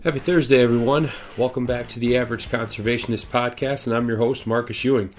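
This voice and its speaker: A man hosting a podcast, congested and nasal from a cold, talking in a halting way with many fillers. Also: mid-range voice, fairly even intonation, slight background noise. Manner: halting, many ums and uhs. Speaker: podcast host. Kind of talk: casual podcast intro and sponsor reads.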